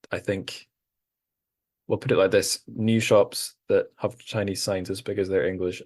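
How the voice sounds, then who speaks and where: A man with a very low, deep voice hosts a relaxed podcast conversation and wanders through amused anecdotes. His voice is dry and close, with no room sound around it. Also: no room sound. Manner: rambling, amused storytelling. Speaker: podcast host. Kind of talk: casual chat.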